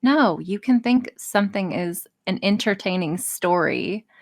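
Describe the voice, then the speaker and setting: A woman's voice: mid-range voice, lively intonation, unhurried, a little mellow. Blunt, sweary woman chatting casually. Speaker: podcast guest, audio writer and performer. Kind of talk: casual interview chat.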